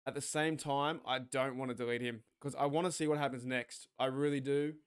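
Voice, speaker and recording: An Australian man hosting a sports watch party stream, rambling excitably, swearing and talking back to his chat. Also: medium pitch, a little fast, clear, resonant tone. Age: 40s.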